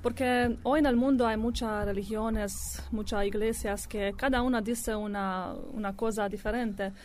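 A woman's voice: a little high, fairly even intonation, a little mellow. Hesitant woman, beginner's Spanish. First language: not Spanish; learning it for a few months. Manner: careful, hesitant learner's Spanish with pauses. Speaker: questioner.

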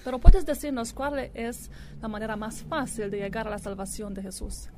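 Woman, a little high-pitched, Spanish learner, careful and hesitant, faint background hiss. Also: fairly even intonation, moderate pace. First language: not Spanish; learning it for a few months. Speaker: questioner.

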